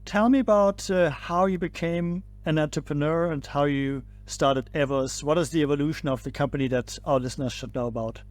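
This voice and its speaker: A man's voice: on the high side, brisk, few pauses. A man hosting a podcast, welcoming a guest and asking about her career with short, friendly interjections. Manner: friendly, brief encouraging interjections. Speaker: podcast host.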